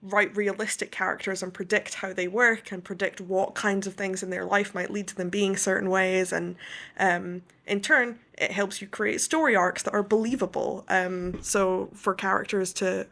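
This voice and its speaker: A woman's voice: medium pitch, level tone, normal speed, creaky, fairly bright tone. A young woman from the west coast of Scotland, an author telling her story as an interview guest, thoughtful and detailed.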